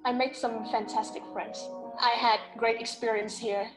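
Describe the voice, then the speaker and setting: Earnest young woman from Vietnam, flat delivery. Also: on the high side, normal speed, rough recording. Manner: simple, earnest, non-native phrasing. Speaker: former student in a testimonial. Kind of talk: testimonial. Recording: promo clip with music underneath.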